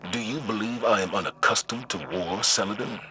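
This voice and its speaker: A man playing a grave military leader in a video game, speaking in a formal, measured, authoritative way. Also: on the low side, pushed and bright, slight background noise. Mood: solemn. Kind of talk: dramatic video game voice acting.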